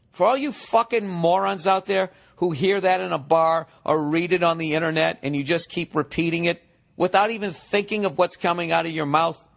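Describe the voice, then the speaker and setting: A man in his forties hosts a comedy podcast, mocking a listener's email. His voice sits high for a man, and the talk stays sarcastic, exasperated and heavy on profanity. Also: creaky, fairly bright tone. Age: 40s. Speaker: podcast host.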